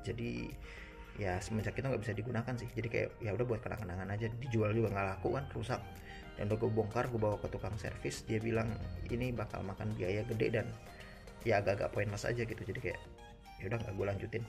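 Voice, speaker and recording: A man's voice: fairly low pitch, fairly even intonation, quick pace, creaky. A man with a Jakarta Indonesian accent giving an informal, conversational product review, explaining things casually to viewers. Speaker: motorcycle gear reviewer. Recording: music behind the voice. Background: background music.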